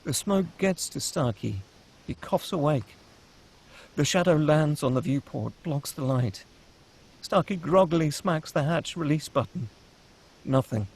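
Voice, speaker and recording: A man's voice: fairly high pitch, lively intonation, a little slow, a little mellow, slightly rough. A man reading stage directions as the narrator of a screenplay, in a dramatic scripted style.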